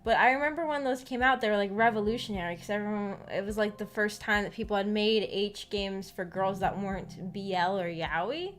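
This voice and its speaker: A giggly woman hosting a book club stream, chatting casually with viewers and musing out loud. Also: mid-range voice, fairly quick, few pauses. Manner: musing, thinking aloud.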